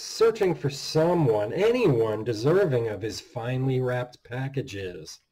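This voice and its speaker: A man in his fifties giving a dramatic, theatrical reading of a horror story, with measured delivery and deliberate pauses, recorded at a desk in a small room. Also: mid-range voice, darkish tone, slightly rough, low-quality recording. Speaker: author reading own story. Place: small indoor room at home.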